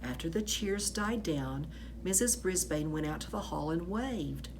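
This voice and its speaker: Female storyteller for a children's read-aloud: slightly low, expressive, stretching key words, faint background noise. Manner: animated, with drawn-out emphasis on key words.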